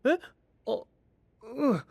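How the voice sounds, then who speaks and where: 仲間を気遣う気さくな青年を演じる男性声優。率直で面倒見のいい話し方。. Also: male voice, very slow, dry recording. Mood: cheerful. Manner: warm-hearted, frank, caring. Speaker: voice actor. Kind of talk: anime voice drama.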